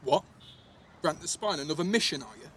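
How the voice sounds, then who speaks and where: A man's voice: a little high, lively intonation, brisk, a bit bright, a little hiss or hum. A young man playing a defensive, sweary teenage schoolboy in a naturalistic audio drama, with an East Midlands English accent and a blunt, slangy delivery. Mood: indignant. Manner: blunt, slangy, full of tag questions.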